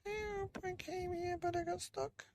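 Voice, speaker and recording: A man's voice: very high-pitched, level tone, a little slow, no echo, voice pushed up. A man voicing a small, helpless, pitiful cat with a whiny mewing manner in a storybook.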